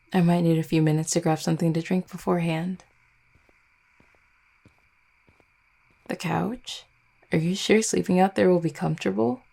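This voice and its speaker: Husky-creaky, tender vampire girlfriend played by a woman. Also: on the low side, dark tone. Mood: playful coaxing. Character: affectionate, teasing vampire girlfriend, protective and doting. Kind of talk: intimate roleplay audio acting.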